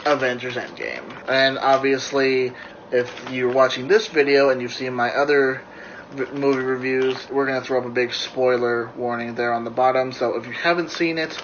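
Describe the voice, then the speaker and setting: A man hosting a casual movie review chat, talking informally and excitedly with some swearing. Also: medium pitch, normal speed. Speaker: host of a movie review channel. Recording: muffled lo-fi, noticeable background noise.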